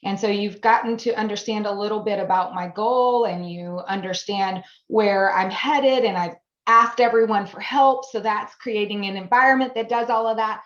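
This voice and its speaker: A woman's voice: mid-range voice, moderate pace, a bit bright. Earnest woman on an echoey video call. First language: German. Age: 40s. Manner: earnest, speaks in building repeated phrases, laughs easily. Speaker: guest, AI education business founder. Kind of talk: interview conversation. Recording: echoey room, dull lo-fi sound.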